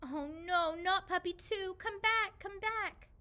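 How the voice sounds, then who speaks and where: A woman voicing an exasperated cat owner in a children's storybook, dramatic and loud. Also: very high-pitched, slow pace, voice pushed up, heavily acted. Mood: pleading. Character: exasperated pet owner scolding a naughty cat. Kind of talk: children's storybook read-aloud.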